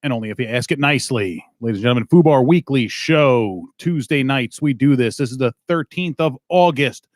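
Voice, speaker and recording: A man's voice: medium pitch, a little fast, few pauses, no echo, studio quality. A man hosting a weekly comedy podcast, swearing freely and teasing his co-host in loose banter. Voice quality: profane, teasing. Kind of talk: crude casual banter.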